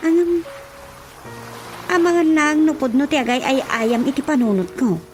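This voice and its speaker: A woman's voice: high-pitched, expressive, measured pace. A woman playing a nosy, chatty village auntie in a radio drama comedy, with an Ilocano accent. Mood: gossipy, musing. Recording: radio drama with loud music bed.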